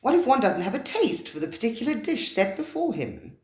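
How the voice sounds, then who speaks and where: Storybook male dinner guest, read by a narrator: doubtful, formal, questioning, lively pitch, echoey recording. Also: brisk, close to an everyday voice. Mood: sceptical. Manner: formal, sceptical.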